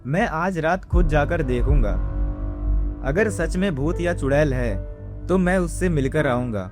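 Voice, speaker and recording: A man's voice: a little high, unhurried, over music, natural voice. A man voicing a bold, skeptical young villager with a confident manner in a cartoon story. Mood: confident, dismissive. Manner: confident, dismissive.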